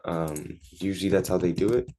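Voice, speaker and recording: A man's voice: sits low, moderate pace, background noise. A teenage man from Turkey, answering interview questions in casual, chatty English. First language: Turkish. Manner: chatty, many likes and you-knows. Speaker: guest.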